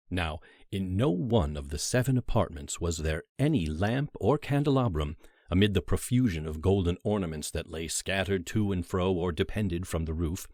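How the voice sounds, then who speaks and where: A man narrating a classic horror story as a dramatic literary audiobook, with theatrical, deliberate phrasing and emphatic pauses. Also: low voice, darkish tone, dry recording, studio quality.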